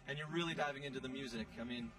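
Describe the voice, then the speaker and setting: A man hosting a casual sports post-game interview, chatty and excitable, with slangy, teasing delivery. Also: mid-range voice, rapid-fire, recorded from a distance, poor audio. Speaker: show host interviewer. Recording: off-mic, music playing behind. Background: music.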